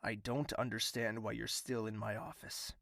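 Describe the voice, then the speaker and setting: Gravelly, imperious royal ruler. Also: male voice, lowish voice, steady tone, moderate pace, a bit bright, dry recording, studio quality. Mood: dismissive. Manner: stern, clipped, authoritative. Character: cold, commanding royal ruler, unbending and imperious. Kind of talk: dramatic audio drama dialogue.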